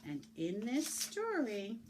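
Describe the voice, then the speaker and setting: Slow, sing-song woman reading a story. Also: fairly high pitch, noisy background. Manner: slow, sing-song teacherly voice with lively swoops and drawn-out words. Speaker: teacher reading aloud to children. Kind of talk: storybook read-aloud lesson for young children.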